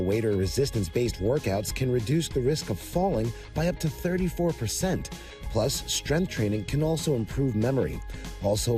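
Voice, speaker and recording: A man's voice: medium pitch, darkish tone. A man reading scripted narration for a television news feature.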